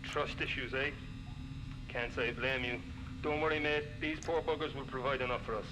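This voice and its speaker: A man playing a foul-mouthed, chatty, excitable scavenger in a video game. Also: mid-range voice, normal speed, over music, close to an everyday voice, poor audio. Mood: matey, breezy. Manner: matey, swearing, excitable.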